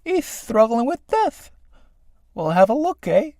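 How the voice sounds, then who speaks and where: A woman voicing callous, gossiping snails in a low, sly, gloating mutter. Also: very animated, unhurried, darkish tone, no echo, studio quality.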